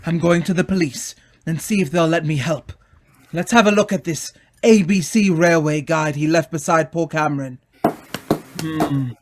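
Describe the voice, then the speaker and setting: A man playing an earnest, take-charge gentleman amateur detective with theatrical delivery in an old-time radio play. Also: a little high, steady tone, moderate pace, a little hiss or hum, natural voice. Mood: urgent, resolute. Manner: theatrical, exclamatory.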